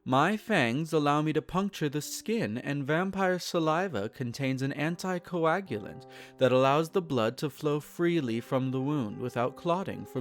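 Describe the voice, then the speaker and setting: A possessive vampire lord , acted by a man, speaks intimately to the listener in a roleplay drama. He is formal and unhurried, doting with a condescending, menacing edge. His slightly high male voice rises and falls widely over soft music. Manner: formal, measured, soothing and condescending.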